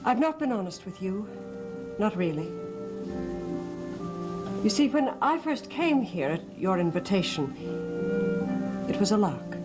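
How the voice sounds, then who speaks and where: Guilt-torn gothic soap heroine: halting, dramatic, widely swooping delivery, music underneath. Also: female voice, medium pitch, very animated. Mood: anguished, confessional. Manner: theatrical, halting, confessional. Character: mysterious actress torn by guilt over a wealthy suitor. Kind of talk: 1970s gothic soap opera acting.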